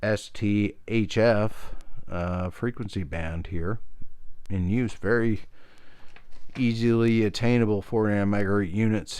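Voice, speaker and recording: Low-voiced American man, rambling casually. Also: darkish tone, dry recording. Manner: meandering, self-deprecating, informal. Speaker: hobbyist radio video presenter. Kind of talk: casual rambling explainer with anecdotes.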